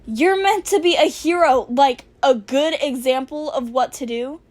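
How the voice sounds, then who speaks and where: A woman voicing a sarcastic, dramatic teenage boy hacker in a casual, deadpan way for a fan fiction reading. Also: high-pitched, measured pace. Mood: casual, reluctant.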